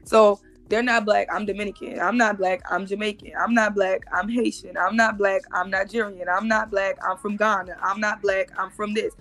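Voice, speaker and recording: A woman's voice: mid-range voice, fairly even intonation, normal speed, hardly any pauses, a bit bright, soft background music. A woman in her thirties, joining a live stream over a video call and explaining things emphatically, often repeating herself. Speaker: guest.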